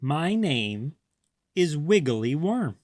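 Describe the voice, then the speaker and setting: A man's voice: on the high side, very animated, very slow, a little mellow, dry recording, studio quality, pitched above the performer's own voice, exaggerated voice. A man voicing a friendly, cheerful worm who encourages a small bird. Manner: helpful, encouraging.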